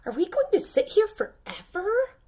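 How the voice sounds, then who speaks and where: A woman's voice: very high-pitched, huge pitch swings, normal speed, dark tone, voice pushed up, full character voice. A woman reading aloud, voicing a boastful young male pea who wants out of his shell. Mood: eager.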